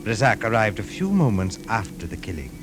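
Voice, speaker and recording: A man's voice: fairly low pitch, big pitch swings, a little fast. An actor playing a suave, middle-aged businessman in a 1950s radio drama, formal and polite with an insinuating manner. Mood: veiled menace. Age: 50s. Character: suave, menacing middle-aged Greek businessman with veiled threats. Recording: noisy 1950s radio recording, vehicle hum.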